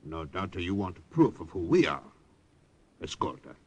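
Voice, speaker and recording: An actor playing a resistance fighter, grave and measured. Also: male voice, sits low, big pitch swings, heavily acted. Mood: solemn. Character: solemn Italian resistance fighter man.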